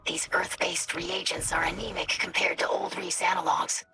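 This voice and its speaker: A woman's voice: on the low side, little pitch movement, moderate pace, sharp tone, whispered, faint music behind. A woman voicing an earnest, scholarly young alien woman in a video game, thoughtful and precise in her wording. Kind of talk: video game dramatic dialogue. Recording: noisy game ambience behind voice.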